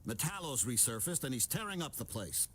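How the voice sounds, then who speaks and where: An actor playing a no-nonsense male newspaper editor in a video game, brisk and matter-of-fact. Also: mid-range voice, big pitch swings, a bit bright, husky. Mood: businesslike. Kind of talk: video game cutscene acting.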